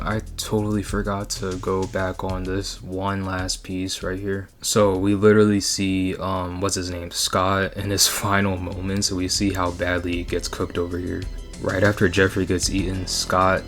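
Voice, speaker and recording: A man's voice: deep voice, completely flat delivery, normal speed, a bit bright. A man hosting a video essay, moving between scripted commentary and casual asides. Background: background music.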